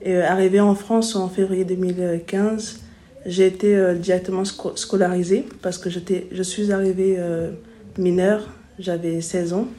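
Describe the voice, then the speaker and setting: A woman in her twenties from Congo, telling her own story in an interview in a hesitant, halting way. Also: fairly low pitch, level tone, mellow. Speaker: interviewee, sociology student. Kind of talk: personal interview account.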